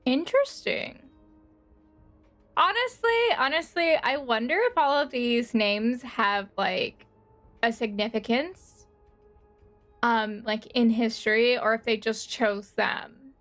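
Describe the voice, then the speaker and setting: A woman streaming a game with casual, off-the-cuff reactions. Her high voice takes its time as she thinks aloud and hesitates, with faint music underneath. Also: slow pace, fairly bright tone.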